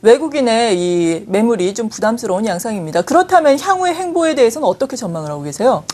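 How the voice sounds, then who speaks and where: Brisk woman anchoring a finance show. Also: a little high, very animated, darkish tone. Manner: brisk, crisp anchor delivery. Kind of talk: scripted news presenting. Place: TV studio.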